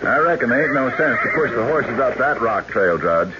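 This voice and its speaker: An American actor playing a folksy old-West sheriff with a rustic drawl in a radio drama. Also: male voice, mid-range voice, expressive, normal speed, close to an everyday voice. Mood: folksy, wary. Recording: muffled, noisy old radio broadcast.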